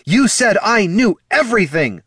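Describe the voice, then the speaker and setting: A man's voice: mid-range voice, normal speed, husky, dry recording, studio quality, pitched above the performer's own voice, close to an everyday voice. A man voicing a hot-tempered, wounded female fire sorceress, impassioned and accusing, in a fantasy audiobook. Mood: outraged. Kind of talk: fantasy audiobook character dialogue.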